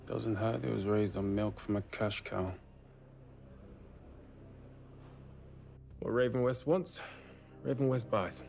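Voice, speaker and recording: A man playing a wry, measured racing team member with a gravelly voice, in a documentary-style interview. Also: lowish voice, a little mellow, soft background music. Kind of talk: mock documentary interview acting.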